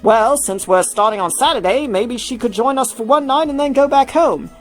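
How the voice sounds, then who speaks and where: Curious, supportive male bandmate read by a woman, fairly high voice, story reading with music. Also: normal speed, close to an everyday voice. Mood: suggesting. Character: Nathan, curious encouraging male band member.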